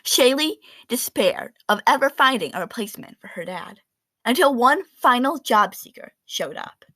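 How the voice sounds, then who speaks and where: A woman reading a children's picture book aloud in an expressive, animated storytelling manner with dramatic emphasis. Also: fairly high pitch, normal speed, no room sound, poor audio.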